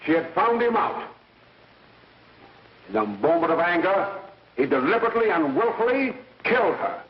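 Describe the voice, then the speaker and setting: Stern 1930s film prosecutor, high-voiced, deliberate, declamatory; muffled roomy recording. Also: male voice, very animated. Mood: righteous, grave. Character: stern male prosecutor pleading to a jury. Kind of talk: 1930s film courtroom oratory. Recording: muffled, echoey, distant 1930s film soundtrack.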